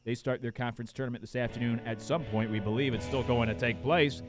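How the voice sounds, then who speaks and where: A man's voice: mid-range voice, steady tone, fast, music in the background. A man hosting a sports talk radio show in a studio, talking casually with lots of fillers while he is losing his voice. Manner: casual, rambling, many fillers. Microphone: studio microphone.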